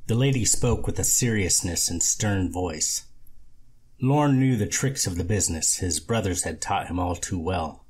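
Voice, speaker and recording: A man's voice: low-pitched, breathy edge. A man reading a novel aloud as scripted narration, as an amateur audiobook reader.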